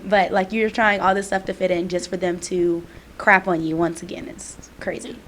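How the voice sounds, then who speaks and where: A young woman co-hosting a student podcast in casual chat, emphatic and animated, full of "like" fillers. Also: lowish voice, moderate pace. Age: young adult. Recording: faint background noise.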